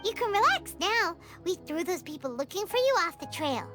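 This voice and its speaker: Game fairy companion: childlike, squeaky, sky-high and animated, excitable and chatty, music underneath. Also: female voice, normal speed. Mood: bright, urgent. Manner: exclamatory, speaks of herself in third person. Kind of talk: video game voice acting. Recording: music behind the voice.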